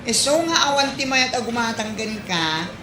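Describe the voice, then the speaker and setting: A woman's voice: fairly high pitch, animated, moderate pace. A woman playing a blunt, fed-up village neighbour in a comedy drama, scolding and exclaiming. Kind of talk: Ilocano comedy drama acting. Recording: echoey room with background noise.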